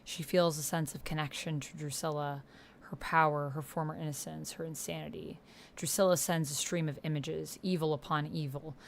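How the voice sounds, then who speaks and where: A woman livestreaming a text-based roleplaying game, reading narration aloud and chatting casually with slangy, excitable reactions. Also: low voice, normal speed, a little hiss or hum.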